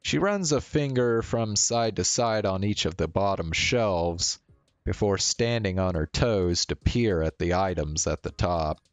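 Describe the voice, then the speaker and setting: A man's voice: low voice, expressive, a little slow, no echo. A man narrating a visual novel aloud as a streamer.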